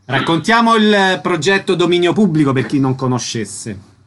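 A man's voice: a little high, expressive, normal speed, a bit bright, slight background noise. A man presenting and interviewing guests as a radio host.

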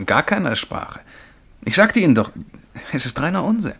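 A man's voice: a little high, very animated, moderate pace, gravelly, bright, forceful tone, breathy edge, full character voice. An actor playing an eager, self-deprecating young male bank clerk who dreams of writing, in a German radio play. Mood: agitated, insistent.

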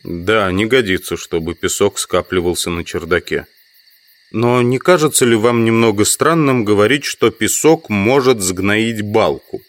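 An actor playing an inquisitive, sceptical insect collector from the city in an audio drama. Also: male voice, fairly low pitch, a little slow, no echo, lightly acted. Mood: insistent, sceptical. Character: male amateur entomologist, inquisitive, sceptical, politely insistent city visitor. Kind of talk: Russian audio drama, dialogue and inner monologue.

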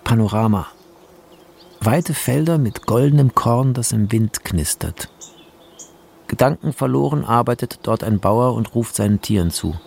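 A man narrating a radio drama, reading out film scene directions in a terse, deliberate, descriptive manner. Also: medium pitch, lively intonation, vocal fry, darkish tone, breathy edge.

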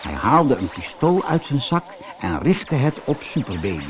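A man reading a children's audiobook story as narrator, in an expressive, dramatised way. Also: mid-range voice, normal speed, a little hiss or hum.

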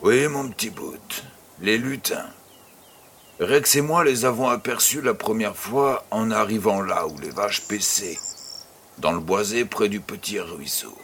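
Over clearly audible background noise, a low-pitched old farmer , acted by a man, speaks as a fond grandfather. He is teasing and unhurried, spinning tales for a child in an audio drama. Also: a bit bright. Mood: storytelling. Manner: affectionate, teasing, unhurried storyteller. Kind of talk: French-language audio drama acting.

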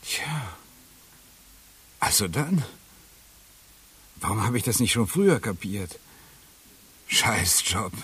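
A weary, absent-minded man in a radio play. His low voice drags slowly yet swings widely as he muses and halts, with faint tape hiss behind. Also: sing-song, a bit bright, breathy edge, heavily acted. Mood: weary, irritated. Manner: halting, musing, with sighs and interjections. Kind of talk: 1980s German radio play acting.

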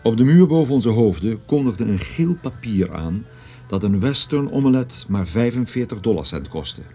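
Low, dark-voiced detective narrator. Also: male voice, animated, faint music behind. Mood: dry, ironic. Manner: dry, ironic, measured storytelling. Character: wry male private detective narrating his own story. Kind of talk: first-person radio drama narration, noir.

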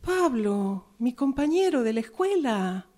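A woman playing an anxious but determined pregnant schoolgirl in an improvised radio drama, with an Argentine accent and a hesitant, breathy delivery. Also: a little high, big pitch swings, dark tone. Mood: anxious, halting. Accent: Argentine Spanish. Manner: hesitant, halting.

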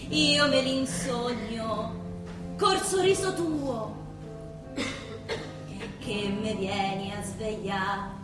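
A woman's voice: fairly high pitch, drawn-out words, pauses often. A woman with a Roman accent acting a dreamy, lovestruck figure, reciting tender, lyrical verse in a stage play. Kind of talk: slow theatrical song turning to recited verse. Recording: echoey stage recording with music.

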